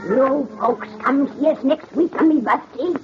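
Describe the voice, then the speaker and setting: A woman's voice: high-pitched, unhurried. A woman playing an ancient witch storyteller hosting 1930s radio horror, cackling and gleefully sinister in a folksy way. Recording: muffled, noisy old radio recording.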